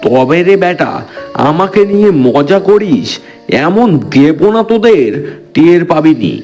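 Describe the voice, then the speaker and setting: A man's voice: a little high, big pitch swings, measured pace, darkish tone, soft background music. A man voicing a stubborn, proud old village man in a horror cartoon, telling ghost stories. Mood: mock threatening. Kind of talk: Bengali horror cartoon dialogue.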